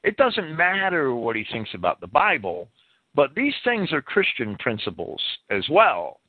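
A man's voice: medium pitch, huge pitch swings, measured pace, breathy edge, dry recording. A man in an opinionated radio-style discussion, partly reading prepared commentary, who often stammers and repeats words as he starts a phrase. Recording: band-limited, lo-fi internet radio sound.

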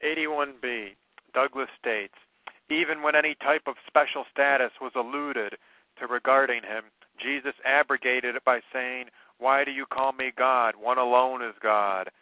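A man's voice: mid-range voice, steady tone, normal speed, no echo. A man co-hosting a radio talk, reading quoted passages aloud and adding short questions. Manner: reads quoted charges aloud, brief interjections. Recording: tinny phone-line radio audio, dry.

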